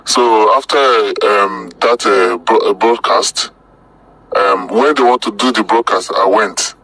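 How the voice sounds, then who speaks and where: A man from southern Nigeria with a Nigerian accent, recounting a personal experience in casual, halting short phrases that often repeat. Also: lowish voice, sharp tone, poor audio. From Delta State, Nigeria. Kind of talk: casual spoken testimony.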